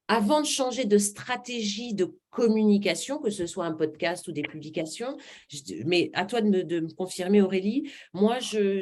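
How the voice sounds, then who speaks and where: A woman's voice: medium pitch, darkish tone. A woman from Normandy, presenting a webinar and giving conversational advice in answer to questions. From Normandy, France. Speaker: webinar presenter and marketing trainer.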